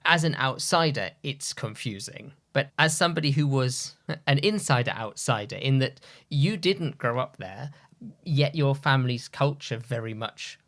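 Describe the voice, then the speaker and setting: Man, interview host; gravelly, friendly, sums up his guest's points. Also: medium pitch, animated, moderate pace, a bit bright. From United Kingdom. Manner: friendly, summarizing questions. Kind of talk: conversational interview.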